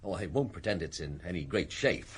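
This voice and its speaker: A man's voice: low voice, lively intonation, normal speed, slight background noise. An actor playing a chatty, deferential gun seller who chuckles through his small talk in a radio drama. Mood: affable, deferential.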